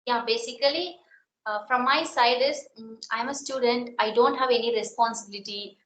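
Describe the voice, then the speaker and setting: A young woman with a Tamil accent talks as an interview guest, pausing to search for words. Her voice sits a little high. It rings in an echoey room with faint background noise. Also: fairly bright tone. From South India. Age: young adult. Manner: halting, searching for words, non-native phrasing. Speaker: interview guest, college student. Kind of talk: casual interview chat.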